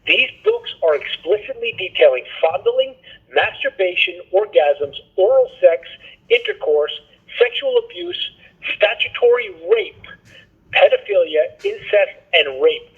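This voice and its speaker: A man's voice: high-pitched, very animated, measured pace. A man reading a scripted, alarmist political robocall, heard as a telephone voicemail. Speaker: campaign robocall announcer. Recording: muffled, husky answering-machine phone recording.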